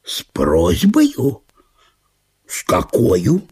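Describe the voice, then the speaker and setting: A man's voice: low voice, wildly swooping pitch, extremely slow, darkish tone, husky, pitched below the performer's own voice, exaggerated voice. A man playing a genial, fussy, easily astonished provincial judge with a courteous, exclamatory manner in an audio drama. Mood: courteous, puzzled.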